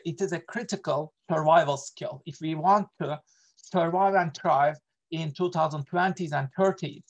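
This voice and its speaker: A middle-aged man with a Turkish accent leads an online workshop over a video call. He talks in an earnest, lecturing way. He often stops to fill pauses and correct himself. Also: a little high, creaky, rough recording. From Turkey. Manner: earnest, many fillers and self-corrections. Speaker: workshop presenter, associate professor.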